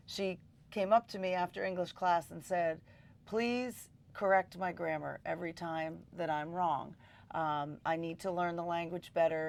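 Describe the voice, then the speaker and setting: A woman's voice: fairly low pitch, creaky. A middle-aged woman teacher talking in an interview, enthusiastic and full of ums. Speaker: high school teacher.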